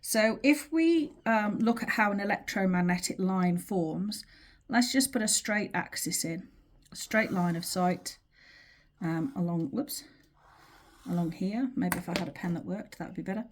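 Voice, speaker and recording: A woman teaching in an informal, explanatory way, with measured, emphatic phrasing and pauses as she talks through a drawing. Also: medium pitch. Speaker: teacher of earth energy dowsing.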